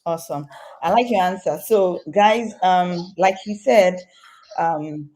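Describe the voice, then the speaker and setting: A woman with a lowish, mellow voice hosts an interview and turns to address her viewers directly. Her questions wander a little, with frequent "um" fillers. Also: slow. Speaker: real estate host. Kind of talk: interview hosting.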